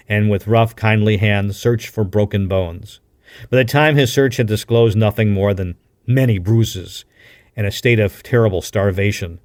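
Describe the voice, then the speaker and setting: A man reading an adventure novel aloud with a deliberate, dramatic storytelling delivery, like a practiced broadcaster. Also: lowish voice. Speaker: audiobook reader, radio broadcaster.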